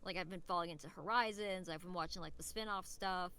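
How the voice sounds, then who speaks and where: A woman's voice: fairly low pitch, quick pace, sharp tone, clean tone. A young woman in casual podcast chat, enthusiastic and rambling, peppering her sentences with "like". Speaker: voice actor, podcast guest.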